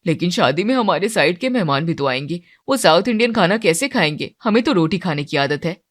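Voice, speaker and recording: A woman's voice: fairly low pitch, animated, fairly quick. Woman voicing a questioning, food-loving young son. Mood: doubtful. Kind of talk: Hindi moral-story cartoon character.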